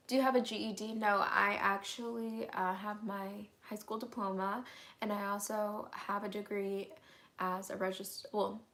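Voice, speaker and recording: A young woman, hoarse and getting over an illness, chatting candidly through a live Q&A at home, answering viewer comments with lots of "um"s and "like"s. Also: mid-range voice, sharp tone. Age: young adult. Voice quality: raspy. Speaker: vlogger hosting a live Q&A. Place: at home, indoors.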